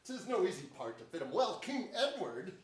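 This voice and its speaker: A man's voice: sits high, sing-song, normal speed. An older man playing a bold, forthright royal officer, declaiming emphatic Shakespearean verse in a staged reading. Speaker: stage actor. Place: large indoor theater stage before an audience. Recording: echoey theater, distant microphone.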